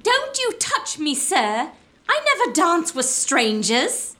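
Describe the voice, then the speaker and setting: A woman playing an excitable, melodramatic, easily frightened young lady in a comic gothic audio drama. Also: sits high, takes it slowly, full character voice. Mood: arch, indignant. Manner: melodramatic, exclaiming.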